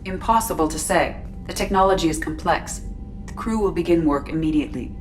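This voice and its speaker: An actress plays a dispassionate female starship AI from a video game. Her low voice is measured and exact, set a little away over faint music. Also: natural voice. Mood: calm, clinical. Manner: measured, formal, precise. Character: calm female ship artificial intelligence, precise and dispassionate. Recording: slightly distant, faint music behind.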